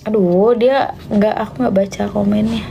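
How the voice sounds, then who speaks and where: A woman's voice: medium pitch, fairly even intonation, normal speed, vocal fry, highs cut off, background noise. A young Indonesian woman answering viewer questions in a casual live stream, slipping in English phrases and sometimes dropping to a whisper. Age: young adult. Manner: chatty, mixes English phrases, drops to a whisper at times.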